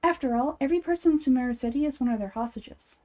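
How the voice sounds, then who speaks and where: A woman voicing a gentle, child-like goddess of wisdom in an anime game fan dub, measured, thoughtful and earnest. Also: high voice, lightly acted.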